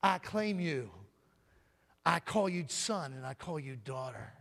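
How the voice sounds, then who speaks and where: High-voiced preacher man, loud then soft, amen every other line, long hall echo. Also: sing-song, measured pace, gravelly. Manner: loud, calls out "Amen?" often, swings from booming to soft. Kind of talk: sermon.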